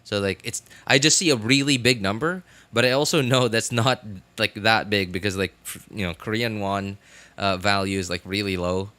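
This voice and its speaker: A man in his thirties chatting casually on a livestream, rambling and haltingly thinking aloud. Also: lowish voice, sharp tone, dry recording.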